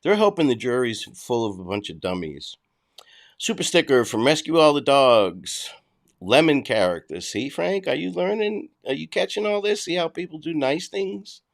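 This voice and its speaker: A man's voice: a little high, very animated, moderate pace, gravelly. A middle-aged man with a Boston accent, hosting a casual livestream, reading and answering chat in a blunt, conversational way. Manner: blunt, conversational, frequent restarts.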